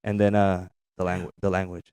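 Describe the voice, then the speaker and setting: Smooth, low-pitched man chatting casually. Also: level tone, a little fast, a little mellow, no room sound. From US-Mexico border region, Mexico. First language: Spanish. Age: 30s. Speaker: podcast guest. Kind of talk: casual chat, storytelling.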